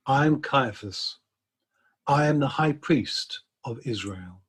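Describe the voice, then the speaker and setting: A man reading a dramatic monologue as a proud, scheming high priest, self-important and matter-of-fact, with a husky voice. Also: lively intonation, slow pace. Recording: video call.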